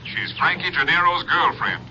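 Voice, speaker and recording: Higher-voiced, businesslike reporting officer. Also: male voice, expressive, normal speed, sharp tone. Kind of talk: 1950s radio crime drama acting. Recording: muffled, noisy vintage radio recording.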